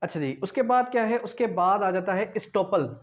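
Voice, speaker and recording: High-pitched Pakistani man lecturing, dull audio. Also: animated, normal speed. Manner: didactic, asks questions and answers them himself; switches between Urdu and English terms. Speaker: law lecturer. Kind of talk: explanatory exam lecture.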